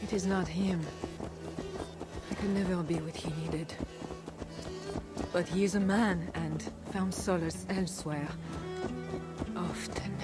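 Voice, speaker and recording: A woman playing a dignified, guarded and pious medieval Frankish queen in measured, formal video game dialogue. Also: fairly low pitch, fairly even intonation. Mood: wistful, guarded. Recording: music behind the voice.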